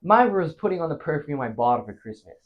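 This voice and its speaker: A man acts out a rattled husband telling his tale in a comic stage play. His voice sits fairly high and swings widely in pitch. He stammers, stops for dramatic pauses and lurches between big emotions. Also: very animated, muffled recording. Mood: fond, wistful. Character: flustered married man frantically recounting a disastrous anniversary night. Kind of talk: theatrical comic stage monologue.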